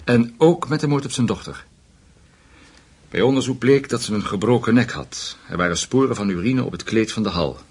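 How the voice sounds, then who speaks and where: An actor playing a measured, discreet senior German investigator in a Dutch radio play, speaking in a deliberate, formal way. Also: male voice, medium pitch, animated, breathy edge. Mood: firm, reasoning.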